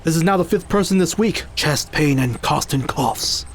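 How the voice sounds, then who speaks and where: An elderly village musician played by a man speaks formally and gravely. His delivery is urgent, with lively rises and falls. Faint noise sits behind the audio drama dialogue. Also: on the high side, normal speed.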